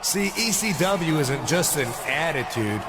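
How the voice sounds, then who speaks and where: A man's voice: fairly high pitch, very animated, a little slow. A man playing a cocky, laid-back rebel wrestling champion who talks in slangy, defiant promos. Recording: very noisy arena ambience.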